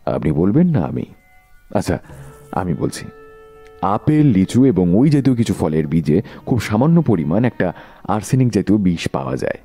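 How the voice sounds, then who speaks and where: A man playing a sharp detective in a Bengali audio drama, speaking with rhetorical, theatrical questioning. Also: lowish voice, very animated, moderate pace, slightly rough, faint music behind, heavily acted. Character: sharp, theatrical male detective unmasking a killer.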